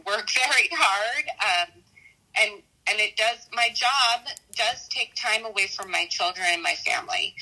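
A middle-aged American woman giving reflective interview answers as an educator, haltingly, often repeating and correcting herself. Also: medium pitch, pushed and bright, low-quality recording. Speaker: math teacher and school dean.

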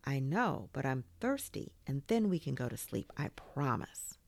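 A woman's voice: rumbling low, big pitch swings, normal speed, gravelly, darkish tone, no room sound, full character voice. A woman puts on a small, timid storybook plush toy who pleads hesitantly. The delivery swings up and down with animation, in a dry, close sound. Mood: pleading. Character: timid, needy little plush toy buddy, male.

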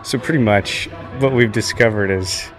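A man's voice: on the low side, moderate pace, low-quality recording. A man in casual banter, sarcastic and given to crude humour. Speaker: golfer. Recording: music playing behind the talk. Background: music.

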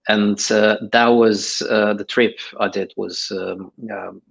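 A man's voice: on the low side, steady tone, takes it slowly, vocal fry, breathy edge. A middle-aged man giving interview answers in a hesitant way, with many fillers and restarts. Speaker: guest.

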